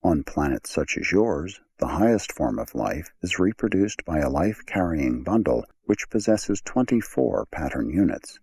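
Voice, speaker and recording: A man narrates a scripted audiobook in a very deep voice. It is gravelly and husky in texture. His delivery is measured and formal. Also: very animated, darkish tone.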